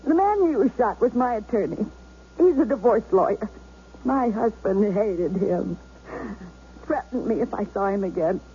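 An actress playing a policeman's battered, desperate wife held hostage, in intense 1970s radio drama style with emphatic pauses. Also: mid-range voice, lively intonation, dark tone. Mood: anguished. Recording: dull, faintly noisy 1970s radio recording.

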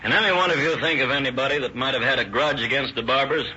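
A terse, matter-of-fact police partner, mid-pitched with a very bright, hard tone. The speech is casual, on a muffled, hissy old radio recording. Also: male voice, fast talker. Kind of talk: 1930s radio drama acting.

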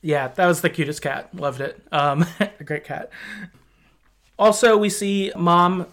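A man's voice: on the high side, a little slow, fairly bright tone, slight background noise. A man hosting a podcast, recapping a story in a casual, rambling way. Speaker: podcast host.

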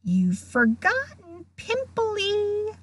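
A woman's voice: sits high, animated, slow, fairly bright tone, full character voice. A woman voicing a wicked, sly little male poltergeist who taunts in a mock-sweet manner for a storybook. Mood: sly taunting.

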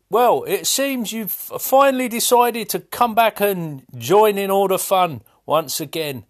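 A man leaving a chatty, joking voice message for a podcast. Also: sits high. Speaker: caller. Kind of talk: recorded voice message.

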